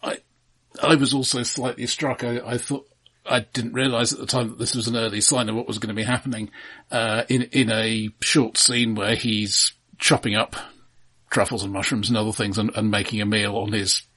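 A man with a fairly low, distinctly husky voice. He discusses films casually as a podcast co-host, measured in his delivery and given to dry, wry remarks. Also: flat delivery, sharp tone.

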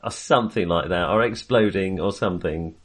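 Low-voiced man, wry and hesitant. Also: brisk. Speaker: film podcast co-host. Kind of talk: casual conversational film discussion.

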